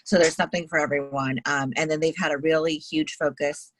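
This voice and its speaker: A woman chatting casually on a video call, relaxed and rambling with fillers. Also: low voice, fairly quick, a little hiss or hum. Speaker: panelist. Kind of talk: casual chat.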